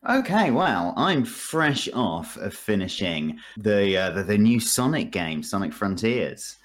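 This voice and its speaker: A man's voice: on the low side, big pitch swings, takes it slowly. A man speaking as a podcast guest, giving casual, informal opinions in a hedging, self-deprecating, conversational way. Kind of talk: casual chat, informal game review.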